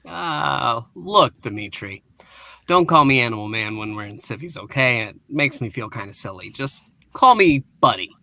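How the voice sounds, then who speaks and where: A man's voice: mid-range voice, lively intonation, normal speed, creaky, bright, forceful tone, exaggerated voice. A man reading the part of a self-conscious, doubtful American superhero in an amateur comic book reading, casual and grumbling. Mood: grumbling, sheepish.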